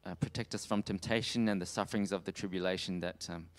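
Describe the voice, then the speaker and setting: Male preacher, deep clean tone, hesitant sermon delivery with mid-sentence pauses. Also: steady tone. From Australia. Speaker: preacher giving a talk on world missions. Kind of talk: sermon with slides, closing in prayer.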